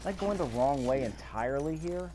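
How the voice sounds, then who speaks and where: A man's voice: medium pitch, lively intonation, fairly quick, warm tone. A man giving casual let's-play commentary, rambling and thinking aloud as he goes. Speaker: gameplay video host. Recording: clear background noise.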